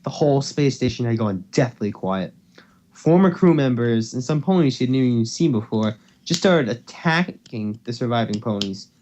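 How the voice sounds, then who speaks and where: A man's voice: mid-range voice, animated, normal speed, a little mellow, lo-fi sound. A man reading fan fiction informally to a co-host over a voice call, stumbling over words and restarting. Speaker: story reader and co-host. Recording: noisy home voice call recording.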